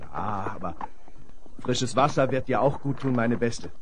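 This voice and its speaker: A man's voice: mid-range voice, expressive, measured pace, lightly acted. A male actor playing a plucky, friendly young rancher's son in a 1970s western radio play, familiar and assured. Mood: gentle, chatty. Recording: noisy vinyl radio play with animal sound effects.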